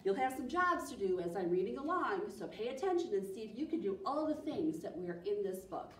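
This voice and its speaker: An American woman in her thirties leading a children's storytime, speaking in an animated, encouraging way to young listeners. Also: medium pitch, a little fast. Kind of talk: children's storytime reading and singalong. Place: small library program room, indoors. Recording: echoey room, distant mic, faint noise.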